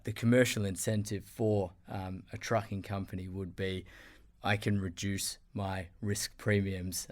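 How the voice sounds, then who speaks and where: A man hosting a podcast interview, effusive and full of fillers and restarts. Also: on the low side. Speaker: podcast host. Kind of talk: casual interview chat.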